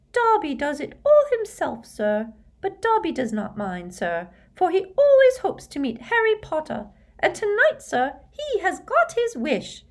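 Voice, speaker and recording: A woman voicing a small, eager male house elf for a storybook, squeaky and deferential, talking like a devoted servant. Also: full character voice. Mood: happy, devoted. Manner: deferential, speaks of himself in third person.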